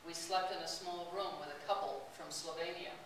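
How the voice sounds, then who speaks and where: A woman's voice: fairly low pitch, fairly even intonation, normal speed. A woman giving a chatty talk to an audience about a long walk, often laughing as she tells stories. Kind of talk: personal travel talk to an audience. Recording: distant, roomy, hissy recording.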